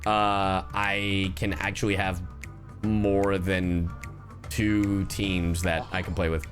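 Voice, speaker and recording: A man's voice: low-pitched, level tone, slow. A man chatting casually as a gaming streamer, joking and swearing freely. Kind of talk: casual gaming livestream chat. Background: game music.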